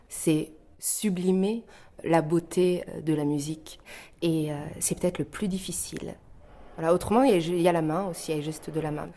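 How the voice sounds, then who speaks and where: A woman from northern Iran answering interview questions reflectively, pausing often as she thinks. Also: deep voice, warm tone. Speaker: interview guest, dancer and choreographer.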